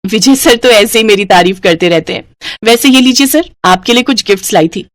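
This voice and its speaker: A woman's voice: mid-range voice, fairly quick, no echo, lightly acted. A woman voicing an eager, forward, infatuated schoolgirl with a coy, gushing manner in a story.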